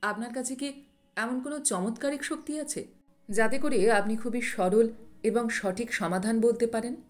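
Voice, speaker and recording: A woman's voice: on the high side, moderate pace, darkish tone, close to an everyday voice. A woman voicing a curious, respectful king in a storybook narration, with deferential questioning. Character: respectful, curious king addressing his guru.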